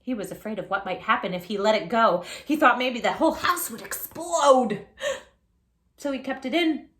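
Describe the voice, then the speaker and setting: A woman reading a picture book aloud for children in an animated, playful way, talking directly to young listeners. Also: on the high side, normal speed, echoey room. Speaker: children's storytime host.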